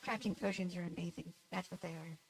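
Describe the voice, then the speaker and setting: A woman's voice: on the low side, fairly even intonation, quick pace, creaky, slight background noise. A woman livestreaming a game, talking casually in a nasal voice, rambling and giggling at herself. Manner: rambling, giggly, self-deprecating. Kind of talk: casual gameplay chat.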